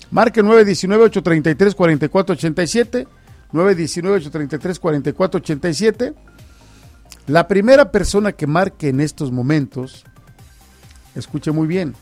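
A man hosts a casual radio giveaway in a fairly high voice. He teases and repeats himself playfully, with faint music underneath. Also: a little mellow. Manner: playful, teasing, repeats phrases. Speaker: radio host. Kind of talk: casual live radio giveaway chat with callers.

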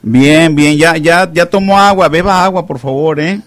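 A man hosts a call-in radio show, joking and teasing his callers as he goes. His voice sits a little high. The studio sound is close and completely dry. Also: normal speed, dry recording. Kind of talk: casual call-in radio banter. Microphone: studio microphone.

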